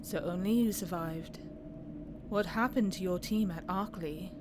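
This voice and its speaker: A woman playing a formal, businesslike review board officer conducting a measured interrogation in an audio roleplay. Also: fairly low pitch, background noise, close to an everyday voice. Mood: businesslike, probing.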